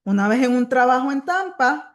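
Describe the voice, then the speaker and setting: A woman's voice: high voice, expressive, moderate pace. A woman with a Puerto Rican accent, leading a Bible study, earnestly urging listeners she calls brother and sister, repeating phrases for emphasis. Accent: Puerto Rican Spanish. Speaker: Bible teacher.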